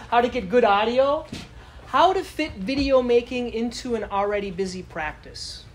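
A woman's voice: a little high, slight background noise. A woman giving a live lecture to a conference audience in a casual, chatty way, often asking the room questions. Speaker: conference speaker.

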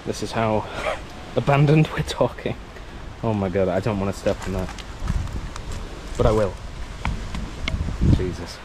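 A man's voice: on the low side, a little slow, pauses often. A man travel vlogging as he explores an abandoned coastal military base in the heat, chatty and exclamatory, talking into a handheld camera. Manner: chatty, exclamatory, nervously excited. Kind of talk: casual walking commentary. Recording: outdoor noise with handling clicks.

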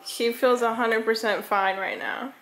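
A woman's voice: a little high, steady tone, unhurried, fairly bright tone. A woman chatting casually from behind the camera in a home vlog. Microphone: behind the handheld camera. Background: music.

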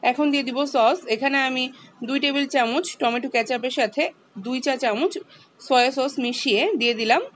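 Calm woman explaining a recipe. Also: high voice, a little fast, slight background noise. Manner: calm, instructive, explains each step. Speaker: home cooking channel host. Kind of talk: step-by-step recipe voice-over.

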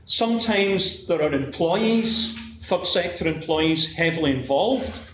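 A middle-aged man from Scotland with a Glasgow accent, giving an academic conference talk at a podium, measured and full of "uh" fillers and restarts. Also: fairly high pitch, expressive, a bit bright. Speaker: conference presenter. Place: lecture room at a podium, standing. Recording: echoey lecture hall, faint room hiss.

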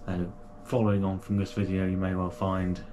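A man's voice: deep voice, completely flat delivery, fairly quick, darkish tone, slight background noise. A man, casually unboxing and reviewing gear for his channel in a small home room, chatty and full of fillers and restarts. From United Kingdom. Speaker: kayaking channel host.

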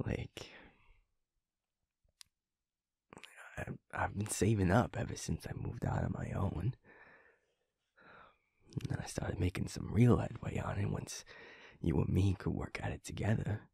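A man playing a tender, overwhelmed young werewolf boyfriend in an intimate audio roleplay, husky and gravelly, murmuring haltingly to a partner. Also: low-pitched, warm tone, no room sound, clean studio sound, exaggerated voice.

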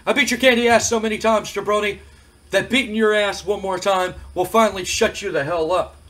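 A man acts out a swaggering tough-guy wrestler who taunts and insults. His voice is high and forceful, with every jab heavily emphasized. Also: fairly even intonation, fairly quick. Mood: taunting.

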